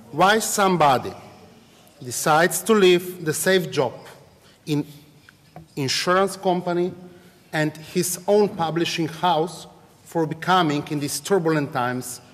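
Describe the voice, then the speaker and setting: A man moderating a public interview, putting questions deliberately, phrase by phrase, with non-native phrasing. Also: fairly high pitch. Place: large echoey hall, public talk. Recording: reverberant hall sound.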